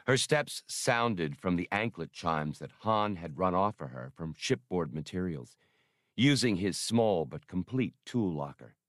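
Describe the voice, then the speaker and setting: A man's voice: sits low, expressive, unhurried, dry recording, studio quality. A man reading a novel aloud as an audiobook narrator, in a scripted storytelling style.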